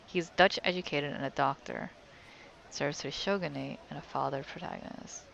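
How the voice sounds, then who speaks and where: A woman's voice: sits low, normal speed, creaky, a bit bright, highs cut off, slight background noise. A young woman giving casual let's play commentary as a game streamer, often murmuring to herself.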